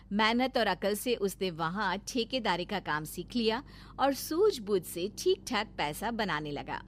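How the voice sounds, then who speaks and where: A woman narrating a moral story in a clear, steady storytelling manner. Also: mid-range voice, no echo.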